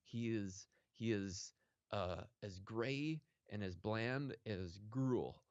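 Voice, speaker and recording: A man playing a proud author who rambles on a radio call-in, halting and oblivious, full of fillers. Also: lowish voice, clean tone, no echo, muffled recording. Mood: boastful. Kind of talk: improvised comedy sketch.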